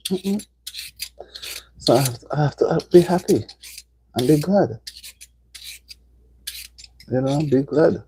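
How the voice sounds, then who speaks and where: A woman's voice: deep voice, animated, slow pace, long pauses, dark tone, a little hiss or hum, low-quality recording. A middle-aged woman from Jamaica with a Jamaican accent, chatting casually to viewers on a live stream and repeating herself for emphasis. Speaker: livestream host.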